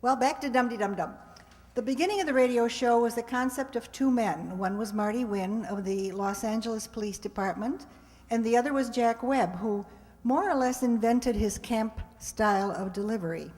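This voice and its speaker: A woman's voice: on the high side, moderate pace, darkish tone. A woman host chattily introducing a live radio play, speaking directly to an audience. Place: live stage in a hall with audience. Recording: reverberant hall.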